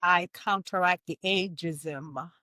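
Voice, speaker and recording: A woman's voice: lowish voice, slow, no echo, studio quality. Woman in her sixties, storytelling. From Jamaica. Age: 60s. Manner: warm storytelling, laughs often. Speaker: guest, fitness coach. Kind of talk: podcast interview.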